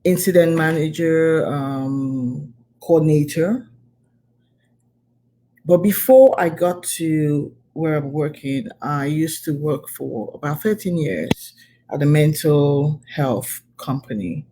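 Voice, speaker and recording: A woman's voice: sits low, slow, mellow. A woman from Cameroon, a guest on a talk show, telling her own story in an interview with frequent hesitations. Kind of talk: interview, personal account.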